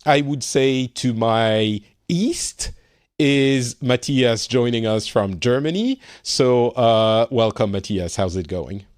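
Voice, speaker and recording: A man from France with a French accent, hosting a casual podcast, chatty and quick to correct himself. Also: mid-range voice, dry recording, studio quality. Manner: chatty, self-correcting, laughs easily. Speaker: podcast host. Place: home in Finland.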